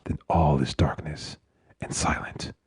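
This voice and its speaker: A man narrating a dark short story in a dramatic, measured and solemn manner. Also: extremely low pitch, fairly even intonation, noisy background. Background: music.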